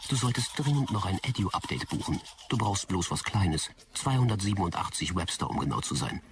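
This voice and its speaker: A man's voice: low voice, sing-song, brisk, recorded from a distance, noisy background. A man playing a precise, nagging, faintly cheeky AI assistant in a sci-fi audio drama. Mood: matter-of-fact, nagging. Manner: precise, recites figures, dryly teasing. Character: male personal AI assistant, dryly efficient, nagging, faintly cheeky.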